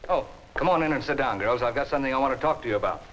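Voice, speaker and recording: Man acting exasperated 1950s American boss, animated pitch. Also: rapid-fire, close to an everyday voice. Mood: brisk, businesslike. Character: exasperated male accounting department boss, brusque and patronizing. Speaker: actor in workplace instructional film. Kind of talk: 1950s instructional film acting. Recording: muffled, hissy 1950s film soundtrack.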